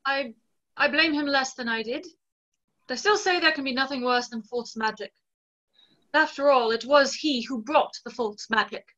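Casting: disillusioned young lady, played by a woman, high clear-edged bright voice, formal reproach, slightly muffled call audio. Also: normal speed. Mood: guarded, questioning. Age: 20s. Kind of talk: stage play read aloud over video call. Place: small plain room at home, on a video call. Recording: dull, lo-fi video call audio.

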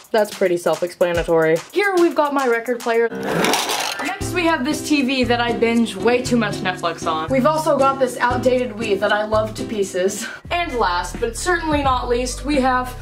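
A teenage girl giving a chatty, self-deprecating house tour of her family home, speaking to a camera in front of her. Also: a little high. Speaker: vlogger. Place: bedroom and rooms of a family home, indoors. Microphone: camera in front, handheld at times. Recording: music playing under the voice. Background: background music.

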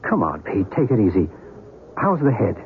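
Calm, earnest UFO believer, old radio sound. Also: male voice, fairly low pitch. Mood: calm, reassuring. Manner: measured, earnest, mystical conviction. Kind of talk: 1970s American radio drama acting. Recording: muffled, noisy vintage radio broadcast.

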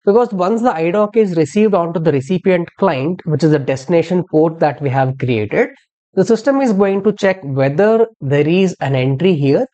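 A software instructor narrating a step-by-step tutorial in an explanatory way, posing questions and then answering them. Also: mid-range voice, highs cut off. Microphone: screen-recording voice-over.

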